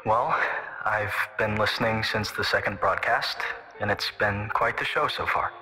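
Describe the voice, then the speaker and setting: Blunt radio guest with a bright tone, in a reverberant room. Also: male voice, on the low side, a little slow, soft background music. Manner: plain, matter-of-fact.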